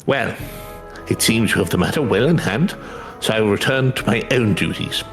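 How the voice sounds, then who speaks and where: A man voicing a courteous older master of a trade in a fantasy game, with a formal, measured way of talking. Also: on the low side, animated, soft background music. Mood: warm, formal.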